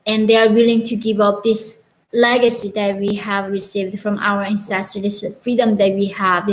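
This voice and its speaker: A woman's voice: mid-range voice, a little hiss or hum, low-quality recording. A young woman from North Korea with a Korean accent, casually answering live chat questions as a channel host, earnest and full of "like" fillers. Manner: earnest, frequent "like" fillers, laughs between chat messages.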